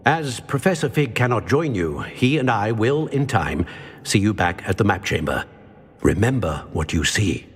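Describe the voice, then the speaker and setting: Ancient wizard keeper: low, husky, solemn and cryptic, ringing in long reverb. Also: male voice, expressive, normal speed. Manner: stately, formal, cryptic. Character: grave, wise old male keeper of ancient magic. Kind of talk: video game voice acting.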